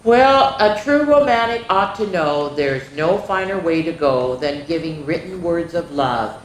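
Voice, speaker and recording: A woman's voice: deep voice, lively intonation, a little slow. A woman playing a romantic, opinionated male giraffe from a storybook, declaring things in emphatic rhyme. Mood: romantic, assured. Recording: echoey room with faint background noise.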